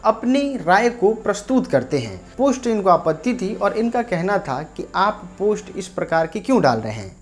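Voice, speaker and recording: A woman presenting a local news report, narrating and speaking directly to viewers. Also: mid-range voice. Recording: faint music bed behind voice.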